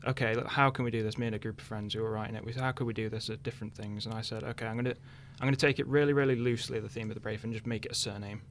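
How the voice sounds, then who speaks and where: A man's voice: fairly low pitch, fairly even intonation, rapid-fire, few pauses, a little hiss or hum. A young man chatting casually in a radio interview, thinking aloud hesitantly. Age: young adult. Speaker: interviewed radio drama writer.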